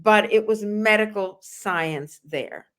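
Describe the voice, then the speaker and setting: A woman's voice: mid-range voice, takes it slowly, fairly bright tone. Middle-aged female host, earnest and emphatic, telling personal anecdotes in a conversational interview. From Russia. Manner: earnest, emphatic, addresses listeners directly. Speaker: host of a nursing and wellness talk show.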